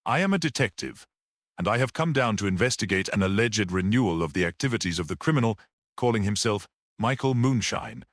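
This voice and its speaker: A man voicing a courteous, self-assured detective in an audiobook story, speaking in a formal, measured and dry way. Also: on the low side, expressive, no room sound.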